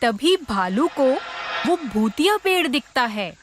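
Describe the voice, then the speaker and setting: A woman's voice: high-pitched, big pitch swings, takes it slowly. A woman giving scripted narration of a children's story.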